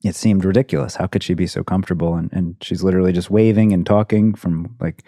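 A man's voice: low-pitched, fairly even intonation, fast talker, mellow, no echo, clean studio sound. A man in his thirties hosting a conversation, speaking in a thoughtful, hesitant way and searching for words. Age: 30s. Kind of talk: casual conversation.

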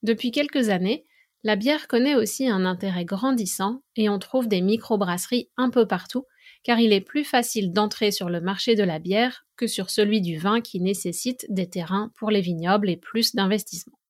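A woman from France speaks as a teacher hosting an informal educational monologue. Her voice sits at an average pitch for a woman. She explains things methodically, moving through examples in an orderly way. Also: normal speed. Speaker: French language and culture teacher, podcast host.

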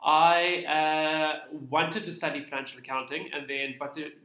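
Bright South African man, echoey room. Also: fairly high pitch, level tone, a little slow. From Cape Town, South Africa. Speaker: interview guest, tax practitioner. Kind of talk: casual conversational storytelling. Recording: roomy, echoey sound.

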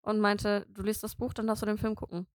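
A woman's voice: mid-range voice, level tone, brisk, no room sound, clean studio sound. A woman in her twenties, a native German speaker, co-hosting a podcast in casual chat, full of fillers and laughing often. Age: 20s. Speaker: podcast co-host.

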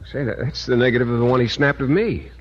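A man's voice: mid-range voice, quick pace, natural voice. An actor playing a mild-mannered, easily alarmed man with a hesitant, earnest, polite delivery in an old radio comedy. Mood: nervous, earnest. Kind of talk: 1940s-50s radio comedy acting. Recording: muffled old radio recording, clear noise.

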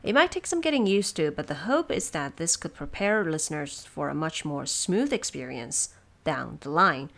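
A woman hosting production notes, explaining things in a measured, semi-scripted and lightly wry way. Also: deep voice, creaky. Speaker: host of production notes for an audio drama.

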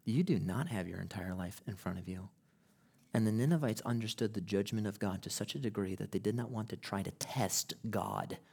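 Man, pastor, low voice, sermon in a church, conversational then impassioned. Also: brisk, gravelly, husky. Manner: builds from conversational to loud impassioned preaching; acts out quoted people in casual voices. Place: church sanctuary.

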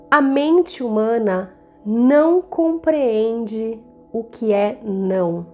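A Brazilian woman hosts a guided meditation with a slightly high voice. She speaks very slowly and soothingly, in deliberate, measured phrases. Soft meditative music plays behind her. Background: meditative background music.

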